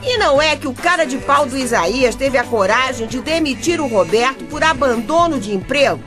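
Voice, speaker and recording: An actress playing an outspoken, gossipy, exasperated wife with a Brazilian accent, narrating a radio soap in a chatty way. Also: female voice, high-pitched, lively intonation, brisk. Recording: music playing behind the voice.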